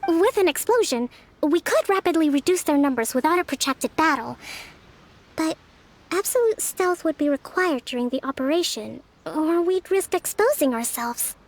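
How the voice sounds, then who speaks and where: A woman playing a gentle, confident young female leader in a video game, speaking in a measured, articulate, formal yet friendly way. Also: high voice, animated. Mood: confident, encouraging. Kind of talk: video game character dialogue.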